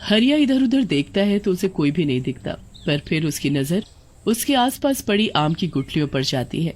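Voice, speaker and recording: A woman's voice: lowish voice, very animated, moderate pace, darkish tone. A woman storyteller reading a scripted tale as narrator.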